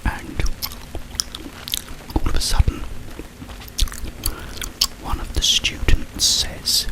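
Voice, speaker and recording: A man hosting ASMR, rambling through a story in a hushed, halting delivery with long pauses. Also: low-quality recording. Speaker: ASMR host. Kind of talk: whispered ASMR ramble, retelling a film.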